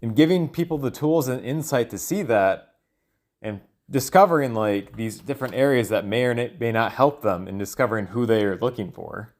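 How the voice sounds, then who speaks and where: A man's voice: medium pitch, normal speed, a little mellow. A man thinking aloud in a loose solo monologue, hesitant and often trailing off as he searches for words. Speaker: solo audio log creator.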